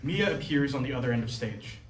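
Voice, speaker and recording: A man's voice: medium pitch, expressive, a little fast. A man reading stage directions as scripted narration at a staged reading. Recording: echoey hall, distant mic, noisy.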